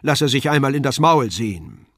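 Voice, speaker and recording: A man's voice: medium pitch, big pitch swings, brisk, fairly bright tone, no room sound, clean studio sound. A man voicing an earnest scholar's assistant in a storybook puppet play, formal and pompous with archaic wording. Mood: officious.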